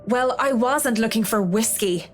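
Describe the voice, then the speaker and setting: A woman's voice: on the high side, unhurried, close to an everyday voice. A woman playing a frank, practical young professional in a visual novel game, dry, blunt and informal, with music behind her. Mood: wry, polite.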